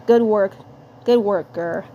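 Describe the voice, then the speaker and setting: A woman's voice: mid-range voice, slow, dull audio. A woman voicing a bossy male alien invader in a cartoon story, repeating commands. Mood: commanding. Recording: clear background noise.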